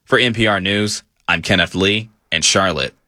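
A man's voice: low voice, moderate pace, pushed and bright, no room sound, clean studio sound. A man delivering a scripted radio news report as a correspondent.

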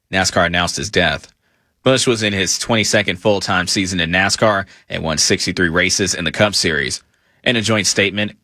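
A man's voice: low-pitched, sharp tone, no echo, studio quality. A man delivering a scripted radio news report as a correspondent.

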